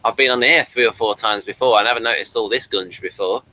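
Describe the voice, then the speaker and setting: A man's voice: on the low side, fast talker, pushed and bright, a little hiss or hum, rough recording. A man giving his opinions in casual review chat as a podcast co-host, animatedly acting out imagined quotes.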